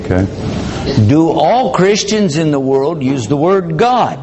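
A man's voice: fairly high pitch, big pitch swings, unhurried. Joking male lecturer, noisy hall recording. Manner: conversational, joking, acts out dialogue. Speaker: Islamic lecturer. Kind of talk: public lecture.

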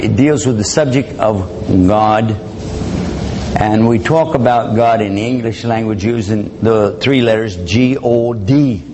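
Male lecturer, mid-pitched, casual and joking, acts out exchanges, recorded live in a hall with clear background noise. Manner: conversational, joking, acts out dialogue. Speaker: Islamic lecturer. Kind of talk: public lecture.

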